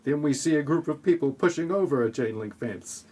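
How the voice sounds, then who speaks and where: A man narrating a scripted audio play in a tongue-in-cheek, deadpan way with sarcastic asides. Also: a little high, fairly quick, breathy edge.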